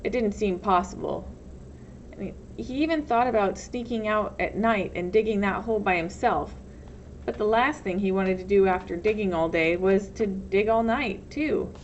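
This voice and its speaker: A woman's voice: medium pitch, moderate pace. A woman reading a storybook aloud as narrator, expressively, like a teacher. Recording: dull lo-fi sound, faint noise.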